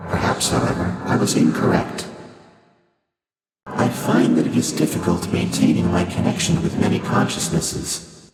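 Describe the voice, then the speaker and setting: A man voicing an ancient, detached primordial deity in a video game, speaking formally and deliberately with breathy, otherworldly layered processing. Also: low voice. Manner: formal, measured, solemn, deliberate phrasing. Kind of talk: video game character dialogue. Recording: cavernous long reverb, loud game music behind.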